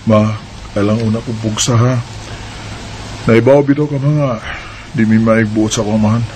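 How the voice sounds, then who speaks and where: A man's voice: on the low side, steady tone, moderate pace, background noise, close to an everyday voice. A young man acting the lead in a homemade radio drama, playful and laughing when courting, earnest when troubled.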